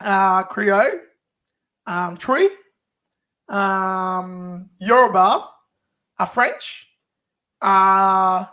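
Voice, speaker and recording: A man's voice: high voice, animated, very slow, long pauses, sharp tone, muffled recording. Hesitant, playful man. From Nigeria. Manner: hesitant, playful, self-deprecating, frequent restarts. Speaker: youth group co-host. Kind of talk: casual chat, playful game of two truths and a lie.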